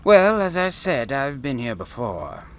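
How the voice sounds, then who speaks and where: Man acting slow, lilting, enigmatic traveler. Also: mid-range voice, sing-song, a bit bright. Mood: knowing calm. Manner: measured, polite, cryptic. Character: enigmatic, courteous wandering traveler, wry and ageless. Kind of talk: full-cast sci-fi audio drama acting.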